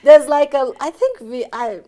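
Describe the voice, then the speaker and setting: A woman's voice: high voice, moderate pace, mellow. A woman in her thirties from Bhutan with a Bhutanese accent, a guest speaking openly and chattily in an interview while her voice is partly gone from illness. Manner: open, chatty, frequent "you know". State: partly lost voice, sick. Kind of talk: candid personal interview.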